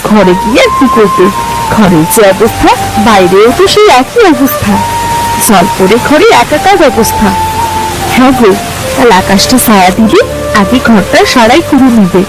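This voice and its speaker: A woman playing a caring, anxious village wife and mother in an animated folk tale, coaxing and tenderly scolding, with music behind her. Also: a little high, normal speed, poor audio. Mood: worried, scolding.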